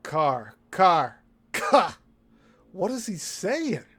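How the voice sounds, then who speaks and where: A man's voice: sits high, big pitch swings, drawn-out words, pushed and bright, slightly rough. A man with a Midwestern accent hosting a live stream, commenting bluntly and with exasperation on clips he plays. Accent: Midwestern American. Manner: blunt, exasperated, laughs often. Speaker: show host.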